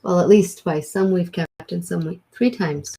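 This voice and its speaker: Low mellow woman chatting on a stream. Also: moderate pace, creaky, a little hiss or hum. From Wisconsin. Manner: chatty, reads viewer comments aloud. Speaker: co-host of a livestream. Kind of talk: casual livestream chat, reading comments.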